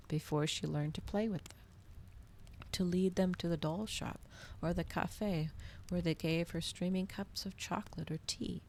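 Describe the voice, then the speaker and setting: A soft-spoken woman reading a novel aloud in an ASMR style, at times close to a whisper. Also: on the low side, creaky, a little hiss or hum.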